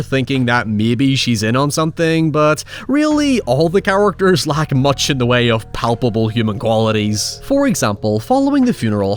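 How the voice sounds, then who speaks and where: A man narrating a scripted, opinionated video essay as its host, with wry, sardonic asides and casual swearing. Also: mid-range voice. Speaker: host of a film retrospective show.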